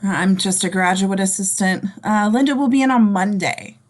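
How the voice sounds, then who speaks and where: A hesitant young graduate assistant played by a woman, with a medium-pitched voice. She is polite and helpful, pausing unsurely as she answers. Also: natural voice. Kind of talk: screenplay table read. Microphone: video call.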